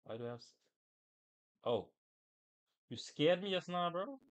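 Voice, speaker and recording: A man's voice: high-pitched, expressive, a little slow. A man chatting casually on a gaming livestream in a slangy, informal way, talking to his viewers. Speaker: game livestreamer.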